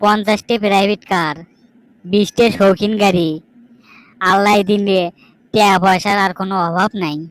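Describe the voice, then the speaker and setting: A woman's voice: mid-range voice, fairly even intonation, moderate pace, pauses often, no echo, natural voice, low-quality recording. Woman voicing a wronged, proud younger brother. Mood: indignant. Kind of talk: amateur cartoon dialogue.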